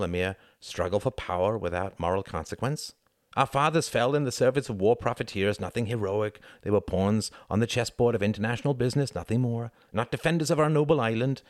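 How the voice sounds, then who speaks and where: A man's voice: medium pitch, no echo, studio quality, voice pushed up, close to an everyday voice. A man voicing an earnest, sardonic young working-class man in a storybook, speaking in an emphatic, rhetorical way. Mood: bitter irony.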